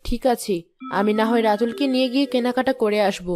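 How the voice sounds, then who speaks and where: A demanding wife from a village cartoon, acted by a woman, speaks in a high voice. She is insistent and quick to complain, with clear background music under her. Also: darkish tone, close to an everyday voice. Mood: annoyed, demanding. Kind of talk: Bengali moral-story cartoon voice acting.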